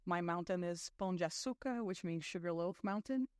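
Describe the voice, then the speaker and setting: A woman's voice: fairly low pitch, fairly quick, dry recording, clean studio sound. A middle-aged woman from Brazil giving a chatty conference talk, full of fillers and self-deprecating asides. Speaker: conference speaker.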